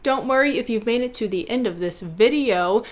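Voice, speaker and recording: A woman's voice: a little high, normal speed. A woman hosting a video essay, reading scripted instructional narration in an articulate, playfully teacherly way.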